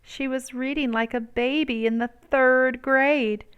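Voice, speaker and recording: A woman reading a picture book aloud as a storyteller, with expressive, measured and emphatic phrasing. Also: sits high, clean tone, lo-fi sound. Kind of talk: expressive read-aloud narration.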